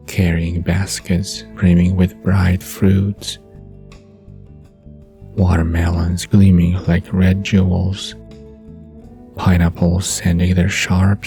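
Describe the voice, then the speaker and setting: Slow, deep, creaky male narrator. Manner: soft, unhurried, dreamy. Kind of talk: scripted bedtime story narration.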